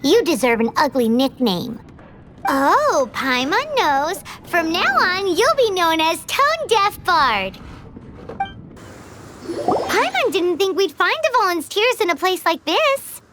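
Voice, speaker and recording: A woman voicing a tiny, cheeky, excitable fairy companion who talks in exclamations, in an anime video game. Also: extremely high pitch, takes it slowly, noisy background, full character voice. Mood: teasing. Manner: speaks of herself in the third person, exclamatory. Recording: music behind the voice.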